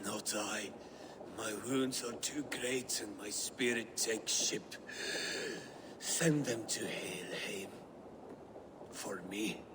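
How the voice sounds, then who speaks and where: A man's voice: lowish voice, slow pace, pauses often, noisy background. A man playing a proud, mortally wounded Norse raider chief in a video game, gasping and coughing. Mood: fading, resigned. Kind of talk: dramatic video game acting.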